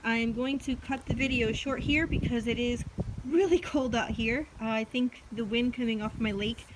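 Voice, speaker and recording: A woman who is losing her voice, a hoarse orchid grower chatting casually outdoors with lots of ums and uhs. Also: fairly high pitch, fairly even intonation, normal speed, background noise. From Florida. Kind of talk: casual vlog walkthrough. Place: outdoors in a chilly backyard.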